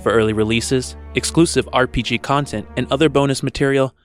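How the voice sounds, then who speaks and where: A man with a medium-pitched voice delivers a scripted podcast outro as the announcer. Soft music plays underneath him. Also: steady tone, fairly quick.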